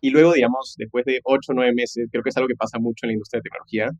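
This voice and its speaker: Fast-talking man, interview guest. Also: medium pitch, level tone, no room sound. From Peru. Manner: peppers speech with English business jargon and tag questions. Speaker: podcast guest, tech entrepreneur. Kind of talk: conversational career storytelling in an interview.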